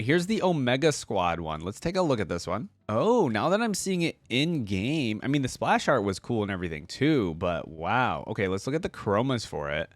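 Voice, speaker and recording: A man with a fairly low voice that swings widely in pitch, recorded dry and close. He hosts in an informal reaction style, chatting and thinking out loud as he comments. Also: no room sound. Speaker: YouTube reaction host. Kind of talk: casual reaction commentary on game skins.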